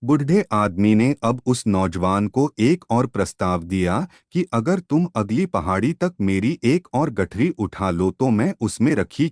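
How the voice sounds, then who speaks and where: A man narrating a scripted moral tale in a measured, expressive storytelling manner. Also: fairly low pitch, no room sound, studio quality.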